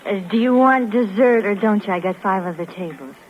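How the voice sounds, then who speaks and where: An actress playing a sassy young waitress in a 1950s radio crime drama, informal and brisk. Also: female voice, mid-range voice, natural voice. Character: pert, brisk young female lunch-counter waitress. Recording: muffled, hissy 1950s radio recording.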